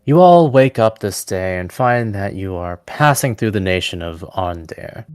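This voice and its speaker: Very deep-voiced man narrating a fantasy game. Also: lively intonation, unhurried, darkish tone. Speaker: game master. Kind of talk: narrating a tabletop roleplaying game. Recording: voice over prominent background music.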